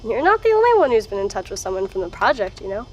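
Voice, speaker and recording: An actress playing a wry, matter-of-fact researcher and archivist in an audio drama. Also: female voice, medium pitch, very animated, quick pace, highs cut off, a little hiss or hum, lightly acted. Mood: pointed, wry.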